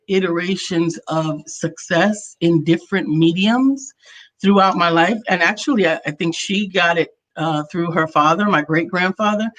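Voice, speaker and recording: An American woman in her fifties co-hosts a talk show. Her delivery is casual and conversational, as a coach talking with her partner and audience. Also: fairly low pitch. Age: 50s.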